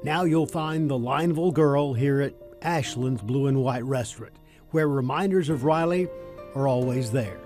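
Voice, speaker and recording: An older man narrating a local TV feature story in a measured, folksy storytelling way. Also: medium pitch, darkish tone. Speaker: TV feature host and reporter. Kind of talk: scripted news narration. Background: music.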